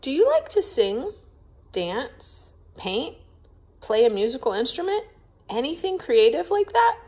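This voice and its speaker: A woman's voice: high voice, sing-song, measured pace, darkish tone, voice pushed up, full character voice. A woman voicing an eager, friendly young girl in a storybook, curious and sympathetic.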